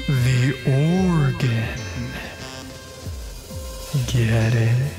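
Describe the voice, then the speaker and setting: Deranged surgeon showman, gleefully macabre, very slow theatrical delivery, audio drama, music behind. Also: medium pitch. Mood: menacing, whimsical. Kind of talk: darkly comedic audio theatre monologue.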